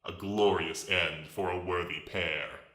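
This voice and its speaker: A huge reptilian villain, speaks with mocking courtesy and grand self-importance in a sci-fi audio drama. The voice is very low, with a forceful edge, in an echoing recording. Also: male voice, steady tone, a little slow. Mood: grandiose. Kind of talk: dramatic reading of a sci-fi story. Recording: echoing, reverberant voice track.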